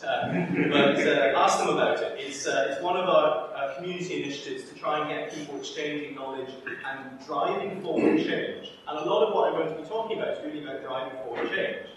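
Man, conference host, wry and chatty, slightly high voice, heard from far off in a very echoey room. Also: fairly bright tone, a little hiss or hum. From Scotland (Edinburgh). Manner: chatty and self-deprecating, many ums, wry asides. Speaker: institute director giving a workshop welcome talk. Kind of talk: conference opening talk. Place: large lecture room with a projector.

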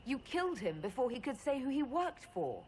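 An actress playing a calm, direct young elf heroine in a video game. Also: female voice, sits high, fairly quick, slight background noise. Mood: puzzled. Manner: direct, questioning.

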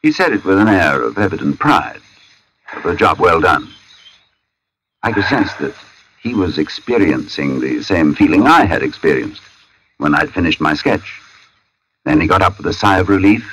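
Uneasy artist narrator, deep-toned, highly varied intonation, deliberate, old-time radio thriller. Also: male voice, big pitch swings, a bit bright, breathy edge, full character voice, low-quality recording. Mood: uneasy, haunted. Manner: brooding, deliberate, halting when shaken. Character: uneasy male sketch artist narrating an eerie tale. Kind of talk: 1940s radio suspense acting. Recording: old radio recording with music behind.

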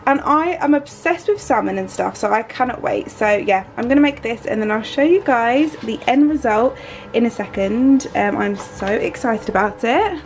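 A woman's voice: on the high side, animated, normal speed, poor audio. A young woman, chatting upbeat to a phone camera at home, full of "like" and "um". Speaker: lifestyle vlogger. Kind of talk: casual vlog chat to camera. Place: bright home kitchen and bedroom, indoors. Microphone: phone held at arm's length.

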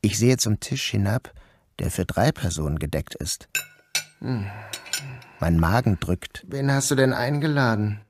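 A man playing an anxious, guilt-ridden narrator who tells his own ordeal in a measured, deliberate radio drama style. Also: on the low side, expressive. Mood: uneasy dread. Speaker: narrator and lead actor. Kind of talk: literary first-person radio drama narration.

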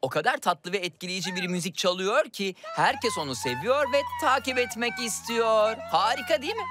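A woman's voice: a little high, lively intonation, moderate pace, close to an everyday voice. A woman voicing an eager, helpful magical guide in a children's cartoon dub, explaining things expressively. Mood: enchanted. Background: cartoon music.

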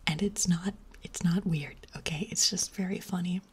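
A woman streaming ASMR in a soft, playful whisper, giggling now and then, close to the mic. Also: fairly low pitch, fairly even intonation, moderate pace, slightly rough.

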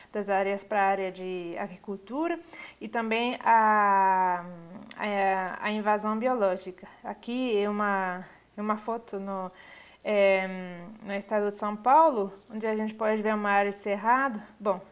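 A woman delivers a lecture over a video call. She speaks at a middling pitch and pauses often, filling the gaps with short sounds while she finds her words. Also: steady tone, clear, resonant tone. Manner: hesitant, many filler pauses. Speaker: university lecturer. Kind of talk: online lecture over slides. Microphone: webcam on a video call.

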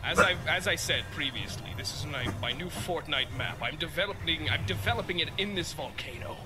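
A man voicing a smug, petty demon who rambles deadpan in an improvised comedy game dub. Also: on the high side, brisk, close to an everyday voice, low-quality recording. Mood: smug, rambling. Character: smug demon rambling about his volcano game map. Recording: game music behind.

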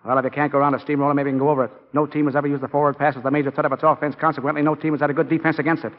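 An actor playing an earnest young college football player in a 1940s radio drama. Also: male voice, fairly high pitch, level tone, rapid-fire, natural voice. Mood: excited. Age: young adult. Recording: dull 1940 radio recording.

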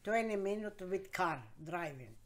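A woman, being interviewed by her grandkids and telling her story in plain, halting, broken English. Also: medium pitch, lively intonation. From Croatia. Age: 70s. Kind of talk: casual interview.

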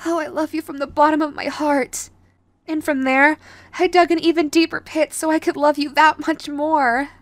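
Woman acting a high-pitched, clingy yandere girlfriend. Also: fairly even intonation, no room sound, lightly acted. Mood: affectionate. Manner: hesitant, pleading, halting. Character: sweet, clingy, remorseful yandere girlfriend in recovery. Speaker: voice actor. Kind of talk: audio roleplay, intimate addressed to listener.

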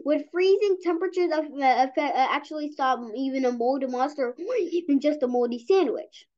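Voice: high voice, a little fast, darkish tone. A young-sounding host reading a children's science comic aloud, stumbling over and repeating words. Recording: dull lo-fi recording.

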